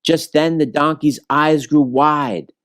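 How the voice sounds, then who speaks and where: A man reading a rhyming children's story aloud in an expressive, measured storytelling manner. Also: a little high, clear, resonant tone, no room sound, studio quality. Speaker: storybook reader.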